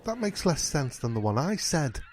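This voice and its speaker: Cheeky male castaway sidekick played by a man for radio comedy; matey, slightly high pitch, faint noise behind. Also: sing-song, normal speed, a little mellow. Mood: teasing. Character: cocky, matey castaway sidekick, easily convinced. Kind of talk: comic radio play acting.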